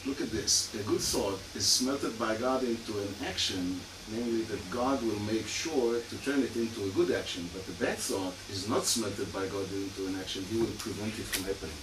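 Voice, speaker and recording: A man's voice: on the low side, moderate pace, hardly any pauses. A man with a Hungarian and Israeli accent giving an academic lecture as a criminologist, with many fillers and dry asides. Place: lecture room. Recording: echoey hall, far mic, noisy.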